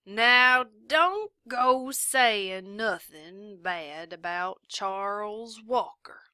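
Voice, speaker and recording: A woman's voice: mid-range voice, animated, bright, forceful tone, clean tone, dry recording, studio quality, full character voice. A woman doing a drunk, drawling, leering sheriff. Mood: lazy drawling. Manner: slow drawl. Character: drunken leering male small-town sheriff.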